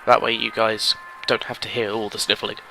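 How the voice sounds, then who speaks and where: A man's voice: fairly low pitch, little pitch movement, moderate pace, creaky, bright, forceful tone, slight background noise, rough recording. A man with a cold, sniffling as he chats casually through his card game, thinking aloud and rambling. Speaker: gaming commentator. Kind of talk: casual let's-play commentary.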